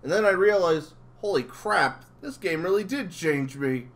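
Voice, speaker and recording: A man with a fairly high, very lively voice hosts a casual interview. He rambles along like an enthusiastic fan, his intonation moving up and down a great deal. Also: normal speed. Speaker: interviewer and channel host.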